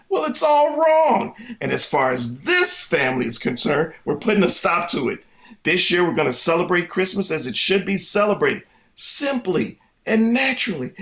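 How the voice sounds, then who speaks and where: A man's voice: high-pitched, a bit bright, heavily acted. An actor playing an exasperated, idealistic 1950s family father in an old-time radio comedy.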